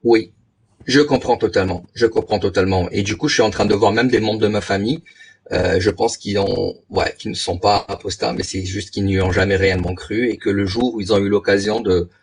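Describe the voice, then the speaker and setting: A man talking casually and reflectively in a live discussion, halting as he thinks aloud, on a noisy mic with clicks. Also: lowish voice, steady tone, creaky. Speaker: live audio discussion guest.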